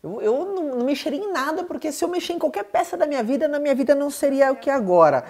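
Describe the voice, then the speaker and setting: A man who hosts a podcast, answering questions in an energetic, emphatic motivational chat with lots of fillers. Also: very high voice, rapid-fire. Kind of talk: casual motivational talk.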